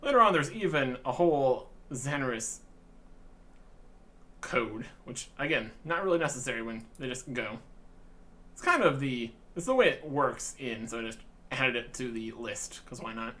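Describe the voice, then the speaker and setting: A man's voice: medium pitch, lively intonation, normal speed, bright, forceful tone. Understated man, casual gaming commentary. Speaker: video game let's player.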